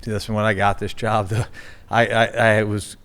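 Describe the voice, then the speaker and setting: A man's voice: fairly low pitch, flat delivery, moderate pace, a bit bright. A man being interviewed as a sports team's general manager, talking casually with many fillers and restarts. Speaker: NFL general manager, guest.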